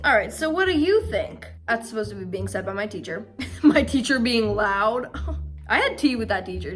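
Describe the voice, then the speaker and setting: Theatrical young woman with a higher-pitched voice. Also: a bit bright. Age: young adult. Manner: theatrical, self-mocking, drawn-out emphasis on words. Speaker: vlogger reading own childhood diary. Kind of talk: melodramatic diary reading with casual asides.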